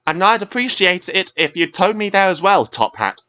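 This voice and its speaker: A man's voice: high voice, big pitch swings, moderate pace, bright, forceful tone, voice pushed up, heavily acted. A man voicing a stern male coast guard boat who lectures like an officer of the law.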